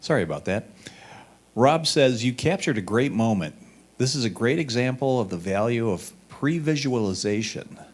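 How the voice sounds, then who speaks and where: A man's voice: mid-range voice, lively intonation, normal speed. A man reading a judge's written critiques aloud as a competition reader.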